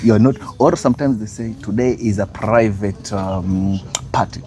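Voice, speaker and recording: A man's voice: lowish voice, moderate pace, darkish tone. A man from Kenya, hosting a casual chat, talking in a loose, hesitant way with many fillers. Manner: chatty, hesitant, many uh and yeah. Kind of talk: casual street conversation. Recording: crowd noise behind.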